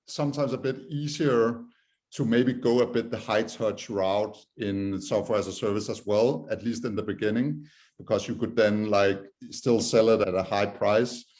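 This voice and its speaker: A Danish-accented man speaks as a podcast guest. His voice is on the low side. He explains things in an informal, conversational way. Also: fairly even intonation, moderate pace, darkish tone. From Denmark. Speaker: podcast guest, startup co-founder. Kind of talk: conversational interview answers.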